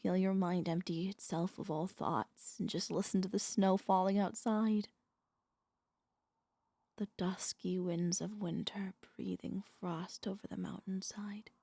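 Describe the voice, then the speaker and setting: Woman playing a slow, breathy, hypnotic vampire mistress. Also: medium pitch, steady tone, warm tone, no room sound. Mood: possessive, coaxing. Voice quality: breathy, often whispering. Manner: slow, coaxing, commanding hypnotic delivery. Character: possessive, soothing female vampire mistress hypnotizing her pet. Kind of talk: ASMR roleplay.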